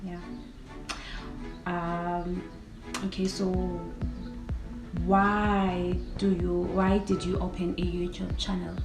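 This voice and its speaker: A young woman in her late teens with a South African accent, talking casually as a vlogger. Her voice sits a little low and stays fairly flat in melody, though she repeats phrases for emphasis. The room is clearly echoey, with music playing underneath. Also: slow, long pauses. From South Africa, Cape Town. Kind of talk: casual get-to-know-me vlog chat. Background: background music.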